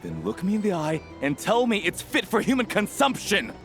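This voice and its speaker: A pompous, outraged diner in an animated game. He is high-pitched and piercingly bright, with a haughty, demanding delivery over music. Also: moderate pace, vocal fry, exaggerated voice. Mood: outraged, indignant. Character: pompous, outraged, fussy restaurant customer.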